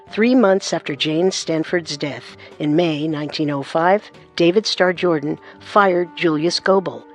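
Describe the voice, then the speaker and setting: A woman narrating a scripted true-crime story as co-host, composed and deliberate. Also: lowish voice.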